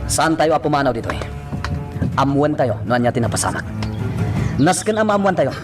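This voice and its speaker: Man acting a commanding police chief, very animated. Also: medium pitch, brisk, over music, natural voice. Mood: stern, frustrated. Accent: Filipino. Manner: barks short orders. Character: commanding, hard-driving male police chief. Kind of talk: Filipino radio drama acting.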